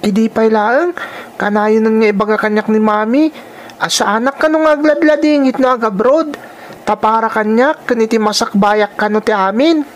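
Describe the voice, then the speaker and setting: A woman's voice: on the high side, fairly even intonation, normal speed, lightly acted. A woman playing an earnest young child in a radio drama, plaintive, with a Filipino accent. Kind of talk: Ilocano radio drama acting.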